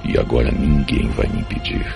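A man playing a cruel, sneering angel villain in a fantasy audiobook, menacing, ironic and unhurried. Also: heavily acted. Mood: cruel menace. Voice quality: very deep, gravelly. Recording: loud music behind. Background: music.

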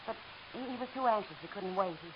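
A woman's voice: medium pitch, quick pace, natural voice. An actress playing a nervous, hesitant young woman who stammers, in 1940s radio acting. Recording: hissy dull old radio broadcast.

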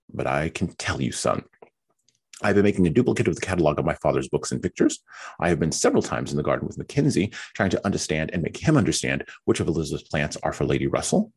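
A patient, sensible young woman with a calm, soothing manner, read by a man in his own deep, husky voice. The lines move with lively pitch. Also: fast, exaggerated voice. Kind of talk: reading a novel aloud.

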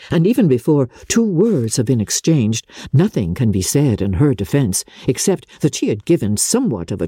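A woman narrating classic literature in a theatrical, formal and measured storytelling manner, with a husky voice. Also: rumbling low, warm tone, no echo, clean studio sound. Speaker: audiobook narrator. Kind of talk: dramatic scripted reading of classic literature.

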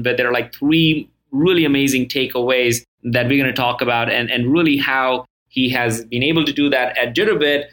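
A man's voice: medium pitch, fairly bright tone. A man hosting a business podcast, enthusiastic and chatty, with frequent fillers and restarts. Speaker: podcast host.

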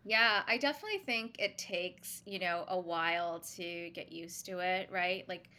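A woman from Singapore, a guest on a podcast, talking in a relaxed, reflective way with many fillers. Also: lowish voice, normal speed. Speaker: guest, brand founder. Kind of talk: casual podcast interview.

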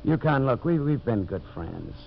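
A man's voice: medium pitch, very animated, moderate pace, vocal fry. Brash american lumberman, hard-bargain salesman talk, old radio play, dull hissy sound. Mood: pleading, backing down. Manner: pushy, wheedling, blunt salesman's talk. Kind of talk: 1950s American radio drama acting. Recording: muffled, noisy 1950s radio recording.

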